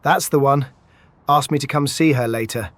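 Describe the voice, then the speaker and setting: A man's voice: mid-range voice, normal speed, fairly bright tone, no echo, close to an everyday voice. An actor playing an easygoing, flirtatious male field doctor in an audio drama, wry and laid-back. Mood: wry, relaxed.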